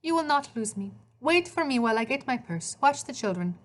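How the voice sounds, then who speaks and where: Lady narrator doing soft italian mama, high voice, loving but won't budge, storybook. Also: lively intonation, fairly quick, lightly acted. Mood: gentle, reassuring. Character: gentle, resolute Italian mother, loving and fearless.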